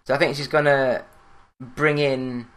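Podcast host from Yorkshire, English male, average pitch, plain monotone read. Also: unhurried. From Yorkshire, England. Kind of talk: solo gaming news podcast.

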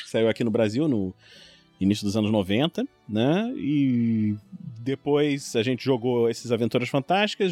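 A man in his forties with a Rio de Janeiro Brazilian accent, telling personal stories in an animated, informal way. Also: mid-range voice. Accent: Rio de Janeiro Brazilian Portuguese. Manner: animated, informal storytelling with quoted exclamations. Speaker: podcast guest, longtime tabletop RPG player. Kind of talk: casual chat, personal storytelling.

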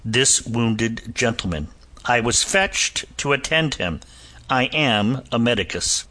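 A calm, sardonic physician answers with measured, cutting wit, as a male narrator puts him on. His lilt sits lightly on a bright, clear voice. He speaks slowly and keeps his composure. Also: mid-range voice, full character voice. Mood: composed. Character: cool, sardonic Irish physician and ex-soldier, adult man.

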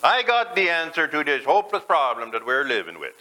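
A man's voice: fairly high pitch, huge pitch swings, brisk, bright, forceful tone, pitched above the performer's own voice, full character voice. A man voicing a fed-up Norwegian wife in a sermon joke, with a Norwegian accent and a folksy, singsong manner. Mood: scheming. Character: Norwegian farm wife, fed up with her husband.

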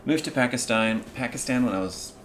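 A man from Afghanistan telling his story as a guest in a casual podcast chat, animated and full of restarts. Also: medium pitch, a little fast, a little hiss or hum.

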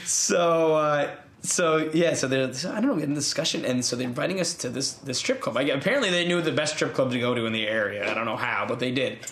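A man's voice: mid-range voice, fast talker, fairly bright tone, roomy sound. An American man telling a long story in casual chat, laughing often and restarting his sentences. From United States. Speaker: podcast co-host telling a story.

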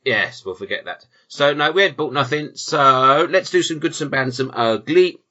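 A British man with a bright, forceful voice hosts a casual film podcast. He banters in a dry, jokey way and swears freely. The audio is slightly dull and lo-fi. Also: on the high side, expressive, moderate pace. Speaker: podcast host.

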